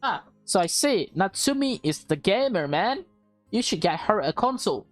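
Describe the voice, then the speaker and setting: A woman's voice: mid-range voice, very animated, moderate pace, no room sound, faint music behind. A woman hosting a let's play, reading a visual novel aloud with chatty asides to viewers. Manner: chatty, addresses viewers directly.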